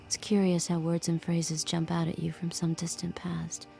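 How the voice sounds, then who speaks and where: A subdued handmaid telling her story in an audio drama. Her voice is lowish with a lot of creak, measured and introspective. Music plays clearly underneath her hushed delivery. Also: monotone. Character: subdued, watchful young woman kept as a handmaid. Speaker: lead actor and first-person narrator. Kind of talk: audio drama, intimate literary narration and dialogue.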